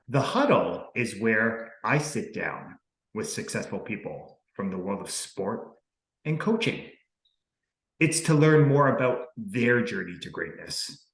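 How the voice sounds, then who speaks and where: Guy hosting a podcast, lowish voice, earnest and upbeat, echoey room. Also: lively intonation, slow. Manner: earnest, upbeat, speaks in motivational sayings. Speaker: podcast host. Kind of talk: motivational interview podcast. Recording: roomy echo.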